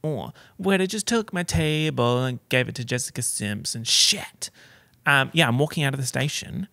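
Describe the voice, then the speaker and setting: A young man chats through his podcast in a campy, theatrical style, heavy on slang. His voice is gravelly with a bright, forceful edge, and the sound is close and dry. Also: mid-range voice, expressive, normal speed, dry recording. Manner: campy, dramatic, slangy storytelling. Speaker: podcast host. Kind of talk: casual solo podcast chat.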